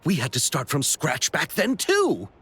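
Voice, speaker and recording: An actor playing a gallant, flamboyant film director in a video game, with theatrical, florid courtesy. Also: male voice, a little high, huge pitch swings, measured pace, sharp tone, husky, full character voice. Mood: dramatic, grateful.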